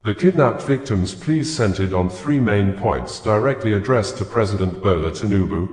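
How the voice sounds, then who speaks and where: A man reading scripted news in a formal, measured manner. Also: fairly low pitch, lively intonation, darkish tone, roomy sound. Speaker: news reader.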